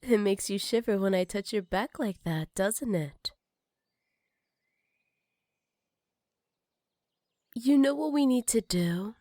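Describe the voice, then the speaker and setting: An intimate, very dry close-mic recording of a possessive female villain acted by a woman. She teases and flirts in a breathy, mid-pitched voice that often sinks toward a whisper, with jealousy under every line in ASMR roleplay style. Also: normal speed, dark tone, no room sound, close to an everyday voice. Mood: teasing, possessive.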